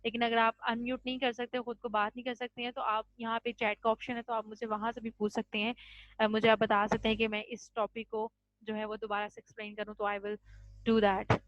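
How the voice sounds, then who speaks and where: A woman teaches an English grammar class over a video call. Her voice sits a little high, and she explains patiently, pausing to check in after each point. The audio is dry and a bit muffled. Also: level tone, a bit bright, no room sound. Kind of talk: online class lecture. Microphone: computer mic on a video call.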